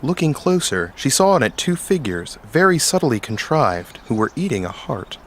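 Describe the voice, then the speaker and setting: A man's voice: medium pitch, big pitch swings, moderate pace. A man hosting and narrating a scripted storybook tale. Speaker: host and narrator.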